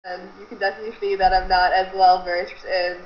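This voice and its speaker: A woman in her twenties, raised in America, answering interview questions in a relaxed, chatty way. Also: medium pitch, a little fast. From United States. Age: 20s. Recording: echoey room, muffled, light noise.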